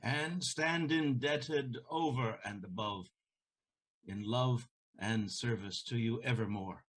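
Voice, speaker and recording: Man playing a solemn old merchant, very slow, mid-pitched, formal, Shakespearean audio drama. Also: slightly rough. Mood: earnest, persuasive. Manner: measured, formal. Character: Antonio, solemn elderly gentleman merchant.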